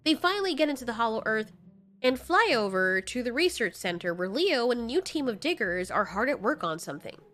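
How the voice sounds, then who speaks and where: High-voiced woman narrating a story over music. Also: sing-song, brisk, a bit bright. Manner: candid, profane, informal. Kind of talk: dramatic scripted story narration.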